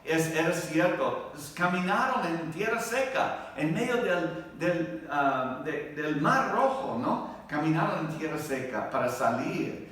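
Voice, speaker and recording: Male Bible class teacher, non-native with a foreign accent, slow halting delivery, heard in a very echoey room. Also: a little high, animated, a bit bright. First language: not a native Spanish speaker. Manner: halting, searching for words, often checking with listeners. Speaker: Bible teacher at a Baptist church adult class. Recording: very echoey room, distant microphone.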